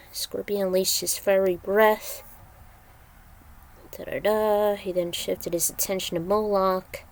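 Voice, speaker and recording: A woman casually reading a story aloud, adding offhand asides and skimming over bits. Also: fairly low pitch. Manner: offhand, skims with filler syllables. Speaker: fan fiction reader.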